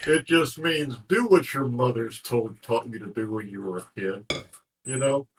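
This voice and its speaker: A man's voice: mid-range voice, moderate pace, slightly rough, poor audio. Folksy Southern American man chatting. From American South, North Carolina. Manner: folksy, restates phrases for emphasis. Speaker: interview guest. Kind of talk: casual chat.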